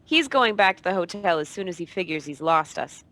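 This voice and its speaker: An actress playing a tough, teasing femme fatale, cool and wisecracking, in a noir radio play. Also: female voice, fairly low pitch, animated, a little fast, pushed and bright, no room sound. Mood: cool, knowing.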